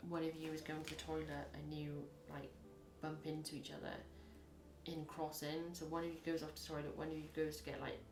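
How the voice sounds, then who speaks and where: A woman with a husky voice, reading tarot in a chatty, rambling way and acting out little scenes as she goes. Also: low voice, monotone, fairly quick. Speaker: tarot reader. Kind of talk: casual tarot reading to camera. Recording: echoey room.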